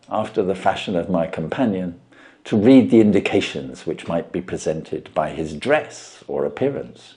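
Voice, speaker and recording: A man narrating a classic short story as an audiobook, in an expressive, deliberate storytelling manner. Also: sits low. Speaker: reader of short stories.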